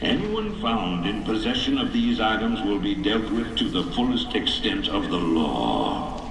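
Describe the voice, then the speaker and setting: Imperious emperor, echoing public address. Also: male voice, fairly low pitch, big pitch swings, gravelly, full character voice. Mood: threatening. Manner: imperious, measured, formal. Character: stern, grandiose authoritarian male emperor. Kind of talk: formal televised political address. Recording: echoey public address with crowd noise.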